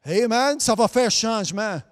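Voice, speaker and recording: A man preaching a sermon in a high voice, his first language English. He fills his delivery with amen and hallelujah, breaks off to restart phrases, and drifts into a sing-song lilt. His voice carries a long reverb tail like a church hall. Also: a little slow.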